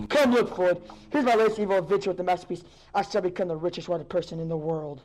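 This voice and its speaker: A woman playing a bossy, gloating mad scientist villain in a radio play, scornful and quick to bark. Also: sits low, exaggerated voice. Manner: scornful, barking insults at assistant. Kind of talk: superhero parody radio play acting. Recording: faint background noise.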